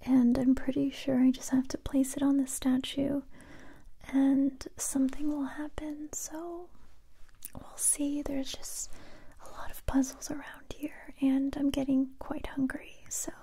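A young woman performing an ASMR roleplay in a soft, breathy whisper close to the microphone, gentle, hesitant and unhurried. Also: high-pitched, flat delivery. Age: young adult.

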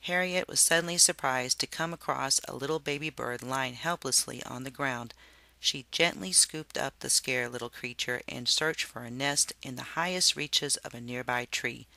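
Very bright, slightly gravelly storybook reader. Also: mid-range voice, normal speed, dry recording. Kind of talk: expressive scripted storybook read-aloud.

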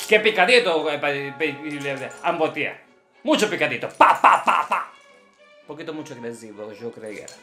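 Casting: exacting Russian ballet teacher played by a man, bright forceful voice, blunt comic critiques in broken phrases, roomy sound. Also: fairly high pitch, expressive, normal speed. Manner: broken Spanish with infinitive verbs, chanted counting syllables. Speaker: ballet teacher reviewing dancers' videos. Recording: roomy echo with faint music behind.

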